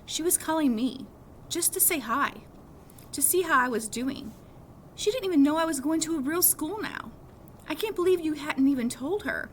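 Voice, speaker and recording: Woman voicing a sulky, high-pitched little brother. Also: lively intonation, normal speed. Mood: sulky, indignant. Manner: curt, defiant, emphatic. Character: stubborn, sulky young schoolboy, little brother. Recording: clearly audible background noise.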